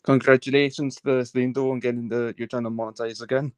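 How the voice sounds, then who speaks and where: A man's voice: mid-range voice, little pitch movement, fairly quick, a little mellow, smooth, no echo. Young Welsh man calling in. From Wales. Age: young adult. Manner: friendly, rambling with fillers. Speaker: caller. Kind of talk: casual chat.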